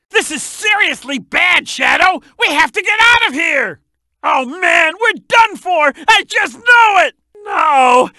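A shouty cartoon croc detective for a video game, super high for a man, sharp and blaring, bossy n excitable. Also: dry recording, heavily acted. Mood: alarmed, frantic. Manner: shouty, emphatic, blustering. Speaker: video game character giving hints. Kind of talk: animated video game voice acting.

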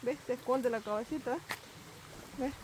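A woman's voice: a little high, normal speed, a little mellow. A young woman chatting casually outdoors by a river, teasing and often asking "¿ves?". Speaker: vlogger. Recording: outdoor noise, stream behind.

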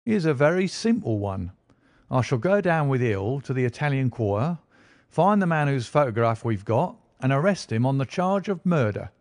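A man's voice: mid-range voice, very animated, normal speed, darkish tone, no room sound, studio quality, voice pushed up. A man reading an audiobook, voicing an eager, self-satisfied male police inspector who lectures with confidence. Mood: eager, boastful. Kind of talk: audiobook character voice.

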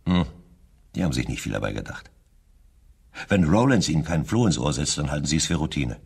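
A man's voice: a bass voice, sing-song, fairly quick, vocal fry, breathy edge, exaggerated voice. An actor playing a probing, insistent male chief police inspector in a German radio crime drama. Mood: pensive.

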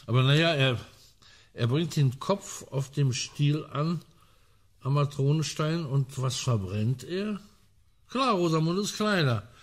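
A man acting in a German radio crime drama as a gruff, sardonic colonel who muses aloud emphatically. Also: fairly high pitch, exaggerated voice. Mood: puzzled, irritated. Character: gruff, sardonic male colonel in an amateur sleuthing trio.

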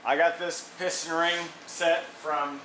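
A man's voice: fairly high pitch, flat delivery, takes it slowly, a bit bright, a little hiss or hum, rough recording. A man casually narrating an engine rebuild in a workshop, thinking aloud with fillers and restarts as he measures parts. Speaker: mechanic demonstrating an engine rebuild. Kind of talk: casual how-to narration while working. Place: workshop garage.

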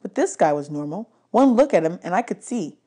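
A woman's voice: fairly low pitch, sing-song, a little fast, mellow. A woman narrating a novel aloud as an audiobook reader. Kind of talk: read-aloud story narration.

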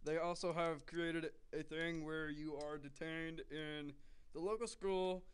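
A man's voice: a little high, fairly even intonation, unhurried, clear, resonant tone, close to an everyday voice. A man playing a pompous TV news reporter delivering a mock broadcast in an announcer-like manner. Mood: self-important, grave.

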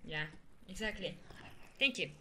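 A woman streamer doing ASMR and chat in a soft, intimate voice that often drops into whispers, close to the microphone. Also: mid-range voice, animated, a little slow, noisy background. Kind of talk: ASMR roleplay and chatting livestream.

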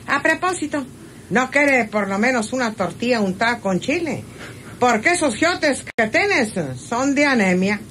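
An actress with a Mexican accent playing a sharp-tongued, mocking country woman in a radio drama. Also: a little high, expressive, unhurried, slight background noise. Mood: sarcastic.

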